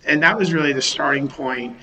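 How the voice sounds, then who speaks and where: Bright-voiced man, thoughtful and halting. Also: on the high side, poor audio. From Western Massachusetts. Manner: thoughtful, restarts sentences, many um and you know. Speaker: company founder, guest. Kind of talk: remote podcast interview. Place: home or office in a city.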